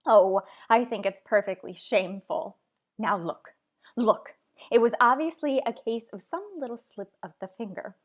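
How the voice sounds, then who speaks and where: A woman's voice: medium pitch, normal speed, natural voice. An actress playing a nervous, demanding invalid wife in a suspense radio play, flustered and insistent as she talks. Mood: indignant, insistent. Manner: fretful, insistent, flustered, stammering. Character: frantic, imperious, nervous invalid wife home alone. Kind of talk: old-time suspense radio play acting.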